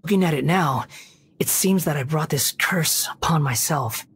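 An actor playing a proud, bitter, sharp-tongued young man in a video game, with a brooding, scornful manner. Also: on the high side, moderate pace, slightly rough, full character voice. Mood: grave, resolved. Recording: background music under the voice.

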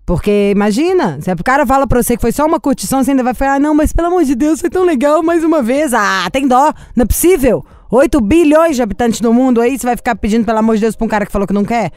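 A woman's voice: on the high side, racing, few pauses, a little mellow, dry recording, clean studio sound. A woman with a Brazilian accent hosting a radio show, bantering in a blunt, teasing, sassy way. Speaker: radio show host giving love advice. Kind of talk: casual comic radio banter.

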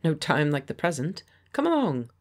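A woman reading the part of a pleasant, confident gentleman painter who speaks with quiet authority. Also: low-pitched, lively intonation, moderate pace. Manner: pleasant, firm, persuasive. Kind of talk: audiobook character voice.

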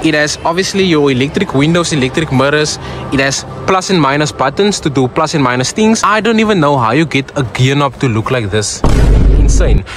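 A fairly high-voiced young South African man, Afrikaans by birth, chatting his way through a used-car walkaround. He keeps calling his viewers "guys" in an easygoing, talkative way. He records on a phone outdoors, with clear street and lot noise behind him. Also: animated, fairly quick. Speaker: car vlogger. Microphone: phone camera.

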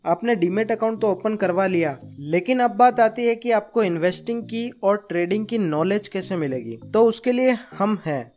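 A man's voice: high-pitched, a little mellow. A man narrating a scripted beginner tutorial in an instructive way, addressing listeners as friends. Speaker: host of a stock market tutorial channel. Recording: voice-over with music behind. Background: background music.

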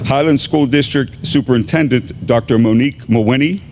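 A man in his fifties, an assistant high school principal, giving a formal, deliberate graduation address at a podium microphone in a large gymnasium. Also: a little mellow, slight background noise. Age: 50s. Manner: measured, deliberate announcing with pauses.

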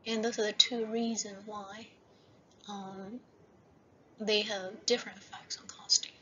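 A woman gives a recorded university lecture over slides, often checking in with "right?" and slowing down to read out each heading. Her delivery is flat and steady. The recording sounds muffled and lo-fi, with a faint hiss behind her. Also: medium pitch. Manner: repeats "right?" and "okay?" as checks.

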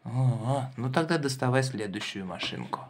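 A man's voice: medium pitch, lively intonation, moderate pace. A man hosting a children's toy car show, chatting playfully with a child and prompting with questions. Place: indoors at a wooden table. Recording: faint background noise.

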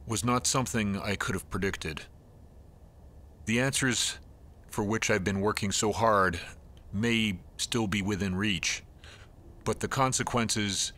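A grave male doctor, played by an actor, dictates his case notes in an audio drama. His voice is low and his delivery formal and brooding. Faint noise sits behind him. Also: fairly bright tone.

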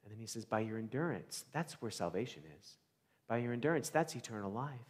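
A middle-aged American man preaching a conversational sermon, with animated storytelling, rhetorical questions and dramatic pauses. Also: medium pitch, breathy edge. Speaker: pastor. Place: large church sanctuary, indoors, before a congregation. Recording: reverberant church hall.

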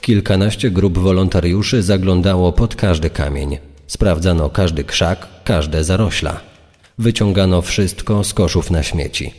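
A man's voice: low-pitched, a little slow, a little mellow. A man reading scripted true-crime narration. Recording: long reverb, music bed behind.

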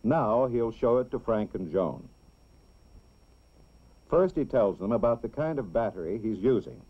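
An American man reads scripted narration in the style of a 1960s educational film, explaining things simply and deliberately for children. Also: fairly low pitch, animated. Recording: dull, lo-fi old film soundtrack.

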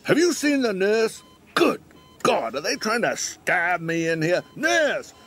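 An actor playing a sardonic, griping old man in an audio drama, with a gravelly voice and a salty, teasing manner. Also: sits high, very animated, slow, fairly bright tone, soft background music, exaggerated voice. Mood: irritable, griping. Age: older. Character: cantankerous, sardonic old male bookseller in hospital.

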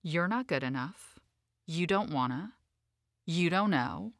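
A woman voicing a belittling, insinuating female inner critic in a hushed, taunting manner for an audiobook. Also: on the low side, lively intonation, slow, dry recording, studio quality, pitched below the performer's own voice.

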